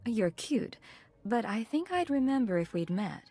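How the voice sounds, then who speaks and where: Cool, clipped secret agent. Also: female voice, on the high side, big pitch swings, measured pace, warm tone, no room sound, faint music behind, full character voice. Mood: cool, direct. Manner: terse, controlled. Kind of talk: dramatic video game dialogue.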